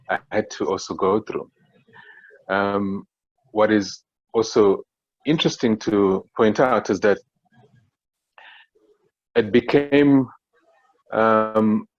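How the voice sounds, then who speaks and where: South African male panelist, low-pitched, hesitant, lo-fi dry call audio. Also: no echo. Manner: halting, many fillers and restarts. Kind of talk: reflective panel discussion. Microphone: video call.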